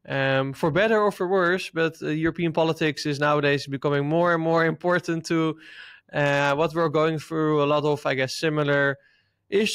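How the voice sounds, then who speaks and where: A man with a Dutch accent hosts an interview in English, asking friendly questions with small hesitations. His voice sits a little high for a man. It is recorded close and dry. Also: fairly bright tone, no echo. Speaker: interviewer, host. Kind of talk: casual interview.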